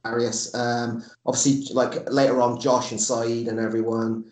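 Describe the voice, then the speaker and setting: A man's voice: medium pitch, level tone, normal speed, a little mellow. Englishman in his forties, echoey room. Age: 40s. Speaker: podcast guest, MMA coach and fighter. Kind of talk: casual chat.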